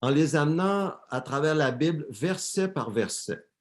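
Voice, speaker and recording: A man's voice: fairly high pitch, animated, moderate pace. A man interpreting a sermon live for a church, often repeating and correcting words as he translates.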